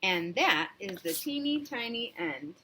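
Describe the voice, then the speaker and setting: A woman's voice: mid-range voice, big pitch swings, unhurried, pushed and bright, a little hiss or hum. A woman reading a storybook aloud to young children, narrating expressively in an interactive, explanatory way.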